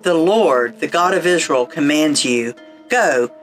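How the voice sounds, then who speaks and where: A woman's voice: sits low, animated, unhurried, faint music behind. A woman playing a commanding older prophetess in a biblical radio drama.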